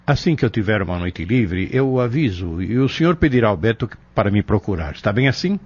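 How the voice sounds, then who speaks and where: An assured, expressive Brazilian adviser. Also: male voice, lowish voice, slightly rough, no room sound. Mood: calm, kindly. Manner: firm, measured, didactic. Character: wise, assured pharmacist and spiritual adviser. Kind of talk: Brazilian radio drama acting. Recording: dull audio with music.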